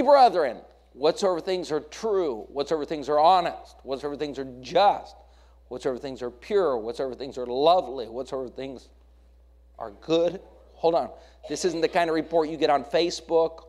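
A man's voice: on the high side, animated, normal speed, darkish tone. An American man preaching a sermon as a pastor, exhorting his congregation with questions, repeated phrases and emphatic lists.

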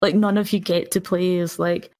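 A woman's voice: on the low side, little pitch movement, moderate pace, warm tone. A woman in casual, informal chat as a player on a gaming podcast, often acting out imagined quotes.

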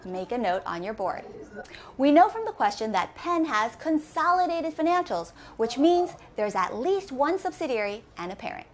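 A woman in her thirties teaching accounting, walking through each step and asking quick questions as she goes. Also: high voice, sing-song, slight background noise. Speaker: accounting instructor. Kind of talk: recorded lesson walkthrough. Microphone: camera framed head and shoulders.

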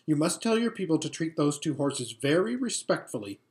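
A runaway girl of noble birth from a storybook, played by a woman reader. She is fierce, serious and bossy. Her delivery is urgent and insistent, with strongly varied pitch. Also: very animated, fairly quick, darkish tone. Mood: serious, anxious. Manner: hissing, insistent, commanding.

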